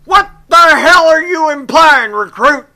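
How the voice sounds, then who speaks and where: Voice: sits high, animated, measured pace, sharp tone, voice pushed up, heavily acted. A performer voicing a stern, foul-mouthed tank instructor sergeant who lectures and barks insults like a drill instructor in a video game. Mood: furious. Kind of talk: military drill shouting and lecturing.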